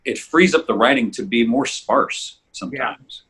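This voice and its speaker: A middle-aged man with a husky voice, hosting a casual conversational interview. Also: medium pitch, normal speed, a bit bright. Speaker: host.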